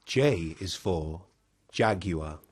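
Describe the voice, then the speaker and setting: A man giving a scripted storytelling performance in a deliberate, measured manner. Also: sits low, expressive, a little hiss or hum. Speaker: performer and narrator. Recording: loud music over the voice. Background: prominent music.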